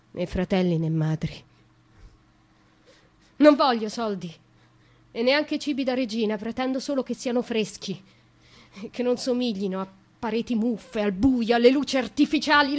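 An actress playing a brooding young noblewoman imprisoned in a dark cell, speaking in a lyrical, slow, reflective way in a radio drama monologue. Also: a little high, close to an everyday voice. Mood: bitter, accusing.

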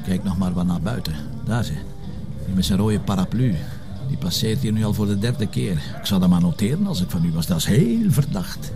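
A man's voice: low voice, lively intonation, fairly quick, music in the background. A man acting a grumbling, sarcastic middle-aged police commissioner in a TV crime drama, with a colloquial, teasing Flemish way of talking.

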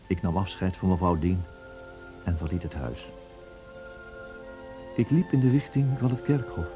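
Radio play gentleman, low voice, measured and reflective, literary narration, clear music underneath. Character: Lockwood, reflective gentleman visitor narrating his departure.

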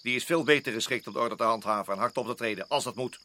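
An actor the playing a worried, hard-nosed garrison officer in a radio drama, urgent and emphatic, often repeating himself. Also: male voice, mid-range voice, fast talker, bright, forceful tone, lightly acted. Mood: sceptical, urgent.

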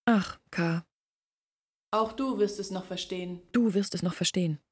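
A woman playing an embittered young villager who confides her family's disgrace in an earnest, measured way in a radio drama. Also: mid-range voice, no echo, close to an everyday voice. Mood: bitter, earnest. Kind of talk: intimate literary radio drama storytelling.

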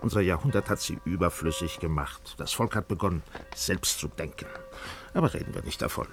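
Freethinking small-town doctor: radio play, deep voice, declarative and sure of his views. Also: expressive, brisk. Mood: opinionated. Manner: declarative, opinionated. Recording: studio radio play with faint music.

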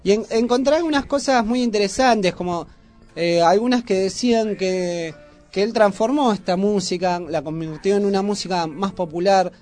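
Argentine guy from buenos aires accent, highish voice, chatty radio host, kinda halting, music in the background. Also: darkish tone. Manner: hesitant, informal explaining. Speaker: radio show presenter. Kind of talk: casual music talk on radio.

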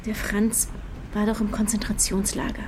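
A woman's voice: medium pitch, little pitch movement, normal speed, background noise, close to an everyday voice. An actress playing a young working woman in an audio drama, plain-spoken, guarded and defensive. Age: young adult. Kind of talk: German audio drama acting.

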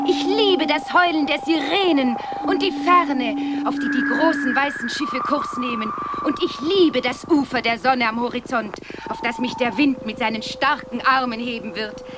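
Rapt, yearning young woman, very high voice, loud hiss on the old tape. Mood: rapturous. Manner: rapturous, incantatory. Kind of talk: 1970s radio drama acting.

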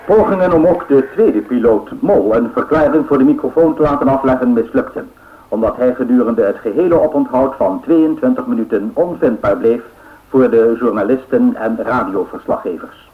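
Male radio-play newsreader, played by an actor: formal, measured 1930s bulletin delivery, medium pitch, narrow phone-like old radio sound. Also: close to an everyday voice. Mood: formal, factual. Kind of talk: scripted radio news bulletin.

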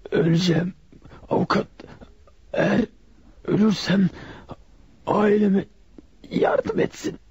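An actor playing a gravely wounded robber in a radio drama, weakly gasping out broken phrases as he dies. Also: high voice, dry recording, heavily acted. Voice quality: weak, gasping, breathy whisper. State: dying, struggling for breath. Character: gravely wounded male robber, dying, loyal to his boss. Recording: dull lo-fi radio recording.

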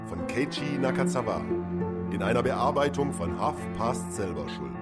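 A man's voice: medium pitch, sing-song, moderate pace, loud music behind the voice. A man reading a scripted closing announcement as a radio announcer.

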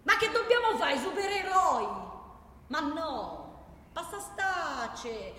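An actress playing a defiant, impassioned protester in a theatrical monologue, declaiming insistently in a Roman Italian accent with emphatic repetition. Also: female voice, very high-pitched, very animated, takes it slowly, bright, forceful tone, full character voice. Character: defiant, impassioned woman protester ranting on a train. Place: large reverberant room, far from microphone. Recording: distant, echoey hall with background noise.

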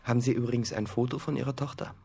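An actor playing a laconic investigator in a radio drama, asking brief, dry questions in a natural way. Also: male voice, mid-range voice, steady tone, racing, gravelly, mellow, breathy edge. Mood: dry, inquiring.

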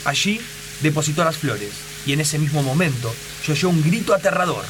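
A man's voice: on the high side, expressive, brisk, background noise. A man narrating a scripted radio drama in a solemn, suspenseful storytelling manner. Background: loud music.

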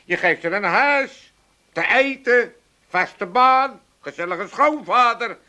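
A man's voice: very high-pitched, animated, slow pace, pushed and bright, full character voice. An actor playing a mocking, gluttonous Amsterdam father in a radio play, teasing and sneering.

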